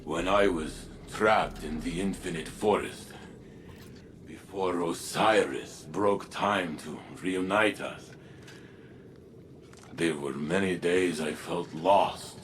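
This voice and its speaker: A man's voice: low voice, slow, a bit bright, a little hiss or hum. A man playing a huge, kindly robot warrior in a video game, speaking in broken English with short, blunt sentences, over game music. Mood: gentle. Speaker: tactical overseer.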